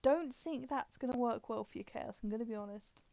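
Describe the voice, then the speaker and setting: Woman, live stream host, mellow dark tone, informal rambling explanations, close dry sound. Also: fairly high pitch, a little fast, creaky, dry recording. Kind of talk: casual chatty commentary.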